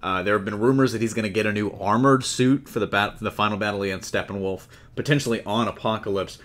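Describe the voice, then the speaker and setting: A man's voice: lowish voice, fairly even intonation, quick pace, a bit bright. A man hosting a casual entertainment news discussion in a conversational way, putting questions to his co-hosts. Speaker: podcast host.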